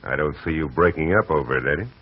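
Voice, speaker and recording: Tough wisecracking private eye, very deep voice, muffled old radio sound. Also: male voice, expressive, quick pace, slight background noise. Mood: guarded. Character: hard-boiled male private eye. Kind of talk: 1940s radio acting.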